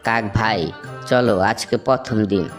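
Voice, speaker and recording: A man's voice: fairly low pitch, fairly even intonation, normal speed, fairly bright tone, soft background music, natural voice. A man voicing an honest, hardworking, trusting small bird in a cartoon fable, earnest and polite. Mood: earnest, eager.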